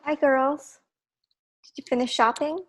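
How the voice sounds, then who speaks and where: A woman's voice: high voice, steady tone, unhurried. A woman acting a caring, apologetic mother of a teenage girl in a sitcom table read. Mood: upbeat.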